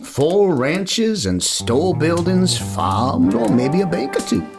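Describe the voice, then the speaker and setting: A man's voice: a little high, big pitch swings, slow pace, heavily acted. A man playing an easygoing, kindly Texas cowboy with a hesitant, rambling folksy manner in a Western radio play. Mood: folksy, reminiscing. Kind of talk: old-time Western radio play acting. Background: plucked guitar music.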